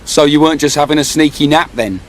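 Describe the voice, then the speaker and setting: A man's voice: fairly high pitch, fast, a little hiss or hum, close to an everyday voice. Brash young British sidekick, played by a man. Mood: teasing. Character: brash, teasing young sidekick and loyal best friend. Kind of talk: video game voice acting.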